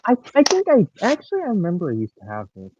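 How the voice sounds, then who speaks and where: A man's voice: sits high, quick pace. A man in his late teens chatting as a stream guest, hesitant but opinionated. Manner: hesitant, animated, opinionated fan. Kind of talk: casual chat. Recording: tinny phone-like call line with faint hiss.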